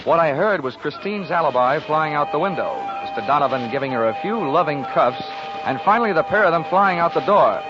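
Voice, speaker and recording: A man's voice: fairly high pitch, animated, a little fast, lightly acted. A male actor playing a cocky, wisecracking insurance investigator in a 1940s radio drama, with a dry, sardonic delivery. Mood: wry narrating. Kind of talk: 1940s radio detective narration and acting. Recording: muffled 1940s radio recording, music behind.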